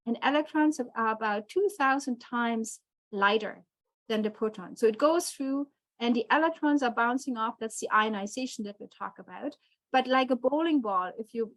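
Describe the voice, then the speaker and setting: A woman's voice: fairly high pitch, no room sound, poor audio. German-accented woman lecturing, dry sound. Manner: hesitant, many fillers and restarts. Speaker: physicist giving a talk. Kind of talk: online lecture presentation.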